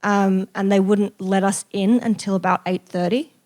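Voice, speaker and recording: A woman telling an anecdote to an audience in a casual, animated, self-deprecating way. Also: medium pitch, normal speed. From raised in Australia. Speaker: guest journalist and author.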